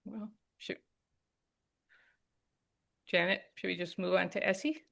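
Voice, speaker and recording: A woman's voice: mid-range voice, measured pace, gravelly, fairly bright tone. A woman hosting a webinar on a video call, talking informally and hedging her answers. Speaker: medical director hosting a webinar.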